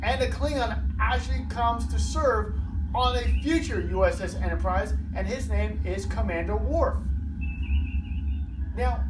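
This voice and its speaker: A storyteller and show host reading a children's story aloud in an expressive way, drawing out words for emphasis. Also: mid-range voice. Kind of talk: children's story read-aloud, scripted narration. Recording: roomy, distant mic with music behind. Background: music.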